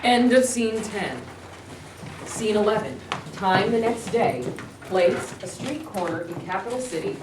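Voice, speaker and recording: A woman's voice: medium pitch, lively intonation, a little slow. A woman reading stage directions as scripted narration at a staged reading. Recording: echoey room, distant mic, clear noise.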